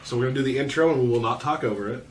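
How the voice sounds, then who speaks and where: A man's voice: medium pitch, racing, far from the mic, a little hiss or hum. A man hosting a casual game demo, explaining things in a conversational way. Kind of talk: casual gameplay presentation.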